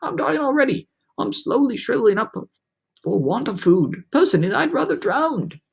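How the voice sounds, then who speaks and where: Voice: medium pitch, very animated, normal speed, creaky, breathy edge, voice pushed up, heavily acted. A performer voicing a gloomy, snappish male earthworm in a dramatic children's storybook read-aloud, complaining and predicting doom. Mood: despairing.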